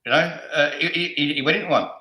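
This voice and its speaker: A man's voice: a little high, fast talker, bright, forceful tone. A middle-aged man hosting a casual football fan chat, jokey and crude, often repeating words as he stumbles along. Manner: stammering repetitions, jokey, crude, sweary. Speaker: host of a football fan show. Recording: roomy, echoey sound.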